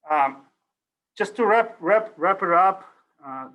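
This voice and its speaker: A man's voice: sits high, expressive, takes it slowly, a bit bright. A middle-aged man from Ukraine, a native Russian speaker, giving a casual university lecture over a video call, rambling with many fillers and restarts.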